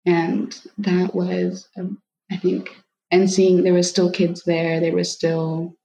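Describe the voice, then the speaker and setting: Young woman guest, low, mellow, hesitant, muffled call audio. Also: monotone, slow pace, creaky. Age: young adult. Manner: soft-spoken, hesitant, many likes. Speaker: guest, daughter of an adoptee. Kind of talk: reflective conversation.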